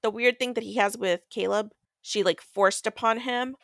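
A woman's voice: medium pitch, steady tone, moderate pace, vocal fry, dry recording, studio quality. A woman co-hosting a podcast, casually recapping a book in a rambling, slangy way. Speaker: podcast co-host.

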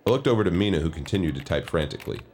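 An actor playing a brisk, skeptical FBI agent in an audio drama, asking clipped questions and narrating in the first person. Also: male voice, deep voice, lively intonation, darkish tone, soft background music.

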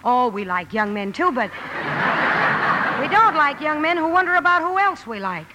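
A woman's voice: high-pitched, very animated, unhurried. An actress playing a blunt, impulsive young woman in a rambling, self-correcting way, in 1950 radio drama style. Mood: indignant, flustered. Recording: noisy vintage 1950 radio broadcast.

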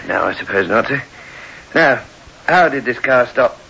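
An actor playing a shrewd police inspector, courteous but insistent and probing, in a sixties radio drama. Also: male voice, mid-range voice, expressive, unhurried, creaky, bright, forceful tone, exaggerated voice. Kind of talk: 1960s radio drama acting. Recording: dull, hissy old radio recording.

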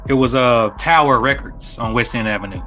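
A man's voice: mid-range voice, brisk, bright, forceful tone, faint music behind, low-quality recording. A man chatting casually on a podcast, explaining things in a conversational way with plenty of filler tags. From Little Rock, Arkansas, USA. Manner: explanatory, peppered with "man" and "you know". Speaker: podcast speaker. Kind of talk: casual chat.

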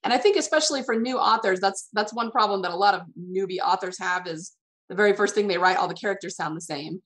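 A woman hosting an interview, chatting casually about the craft of writing. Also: fairly low pitch. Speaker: podcast host, interviewer.

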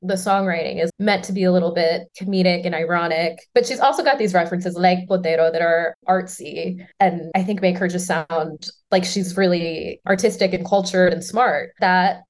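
A woman's voice: lowish voice, normal speed, few pauses. A woman music journalist, a podcast guest, explaining pop history in a thoughtful conversational way.

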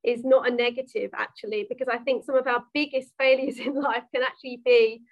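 A woman's voice: on the high side, steady tone, brisk, highs cut off. A woman on a panel talking about leading teams, reflective and thinking aloud as she goes. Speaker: panelist, team leader. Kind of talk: panel discussion.

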